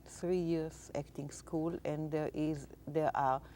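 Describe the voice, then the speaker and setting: Low, mellow-voiced woman from Israel. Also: steady tone, slow, slight background noise. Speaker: guest, Israeli theater teacher. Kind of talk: interview conversation.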